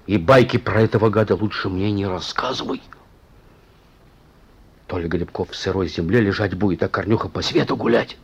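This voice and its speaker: A man's voice: on the low side, moderate pace, gravelly, breathy edge. A male actor playing a stern but fatherly police chief in a radio play, firm and colloquial, on a muffled recording. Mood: grim. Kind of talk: Russian radio play acting.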